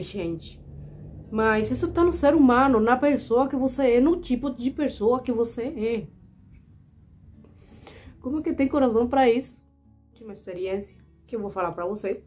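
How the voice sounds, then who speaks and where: A woman's voice: fairly high pitch, expressive, moderate pace, faint music behind. A woman in her twenties from Cuba, speaking with a Cuban Spanish accent, telling personal stories earnestly and indignantly to her viewers. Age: 20s. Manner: earnest, indignant, repeatedly addressing viewers as "gente". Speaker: vlogger. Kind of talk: personal storytelling to camera.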